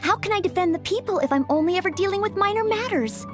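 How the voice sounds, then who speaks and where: A sweet-mannered young maid knight-in-training for a video game, courteous and eager. Her voice sits high and moves with lively, swooping intonation over music. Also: fast. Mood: earnest. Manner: gentle, courteous, eager, slightly formal.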